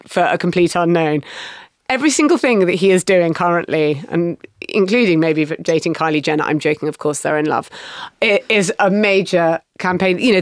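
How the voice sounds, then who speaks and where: A woman co-hosting a podcast in casual, gossipy chat, full of quick asides. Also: on the low side, clean tone, no echo, clean studio sound. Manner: chatty, gossipy, quick asides and self-corrections. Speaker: podcast co-host.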